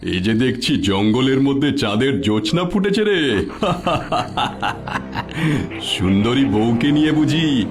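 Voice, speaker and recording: A man voicing a cruel, boastful male bandit chief who taunts slowly and laughs menacingly in a cartoon. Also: medium pitch, sing-song, faint music behind. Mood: gloating.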